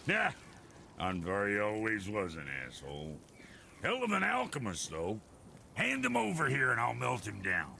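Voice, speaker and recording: Gravelly, brash blacksmith. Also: male voice, on the low side, wildly swooping pitch, normal speed, pushed and bright, slight background noise, exaggerated voice. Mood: brusque, sarcastic. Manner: blunt, sarcastic, needling. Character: brash, foul-mouthed, sardonic dwarf blacksmith. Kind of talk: cinematic video game dialogue.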